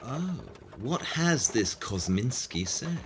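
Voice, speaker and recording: Confident gentleman detective, medium pitch, highly varied intonation, precise audio drama speech. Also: big pitch swings, takes it slowly, background noise. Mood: brisk, certain. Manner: precise, self-assured, deductive.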